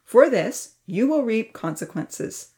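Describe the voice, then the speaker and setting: Storybook elder man, stern but fair, firm and measured; a woman reads him. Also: lowish voice, lively intonation, mellow.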